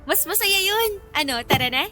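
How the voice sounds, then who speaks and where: A playful young woman in love in a radio romance. Her voice is high and bright. Faint music runs behind her. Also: lively intonation, moderate pace, exaggerated voice. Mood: playful, shy. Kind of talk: radio romance drama acting.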